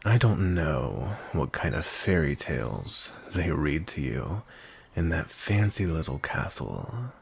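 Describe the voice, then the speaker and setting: A man playing a grumpy, cold, commanding vampire knight in a roleplay ASMR, husky and gravelly, speaking in a stern, measured way. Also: very deep voice, very animated. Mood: grim, menacing.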